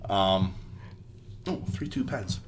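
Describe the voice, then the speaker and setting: Chatty congested dude, kinda low voice, lots of "like", cusses a bunch. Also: male voice, lively intonation, slow, slight background noise. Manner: rambling, swears freely, fills with "like". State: congested from a cold. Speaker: podcast co-host. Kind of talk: casual chat.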